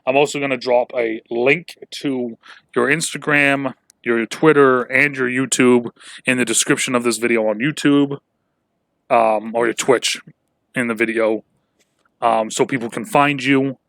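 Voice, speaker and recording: A young man in his twenties hosts a casual podcast in a middle-pitched voice. He rambles, restarts sentences and drops swear words. The sound is dry and close, with no room around it. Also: steady tone, a little slow, gravelly, fairly bright tone, no echo. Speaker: podcast host. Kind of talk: casual chat.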